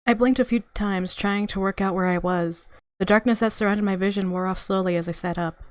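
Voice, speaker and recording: A woman's voice: mid-range voice, fairly even intonation, a little fast, dry recording. Woman narrating a game, close and dry. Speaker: gaming video host. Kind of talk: reading visual novel narration aloud with casual reactions. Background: game music.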